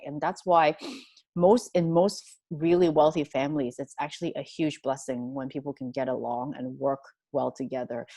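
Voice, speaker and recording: A woman's voice: extremely low pitch, warm tone, no echo. A woman from Hong Kong answering as a panelist in a discussion, earnest and thoughtful, with frequent fillers and restarts.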